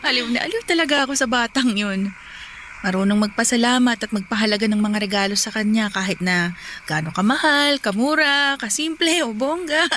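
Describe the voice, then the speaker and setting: An actress playing an affectionate, chatty younger sister and doting aunt in a radio drama. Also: female voice, fairly high pitch, normal speed, bright, forceful tone, slight background noise. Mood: fond, admiring. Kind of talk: radio drama dialogue in Tagalog.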